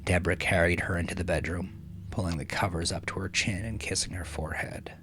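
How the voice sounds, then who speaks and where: A man narrating dramatic fiction from a script in a measured, solemn manner, with hushed phrase endings. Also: deep voice, fairly even intonation. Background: music.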